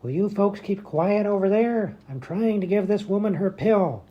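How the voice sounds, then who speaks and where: A woman playing a brusque, matter-of-fact hospital doctor with deadpan delivery in a radio comedy. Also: mid-range voice, moderate pace, muffled recording, natural voice.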